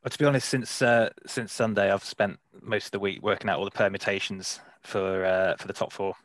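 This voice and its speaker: Deep flat-voiced guy on a podcast, eager, stumbly, dry sound. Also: a little fast, no room sound. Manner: eager, hesitant, repeats words. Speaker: podcast co-host. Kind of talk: casual chat.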